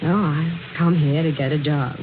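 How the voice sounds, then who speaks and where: A woman's voice: sits low, steady tone, moderate pace, over music, close to an everyday voice. An actress playing a polite, proud, guarded young woman out of work, in 1940s radio drama style. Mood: guarded, curious. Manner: polite, guarded, gently wry. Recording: muffled, noisy old radio recording.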